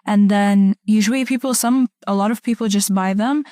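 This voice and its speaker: A woman's voice: mid-range voice, moderate pace, mellow, no room sound, clean studio sound. A young woman from Egypt hosting a podcast in a casual, conversational style. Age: young adult. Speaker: podcast host.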